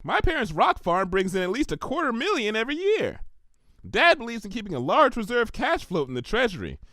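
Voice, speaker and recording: A man voicing a bouncy, excitable, scatterbrained young female pony who rambles and exclaims naively in a cartoon fan fiction reading. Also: sits high, fairly quick, dry recording, clean studio sound, pitched above the performer's own voice, full character voice. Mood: laid-back, chatty.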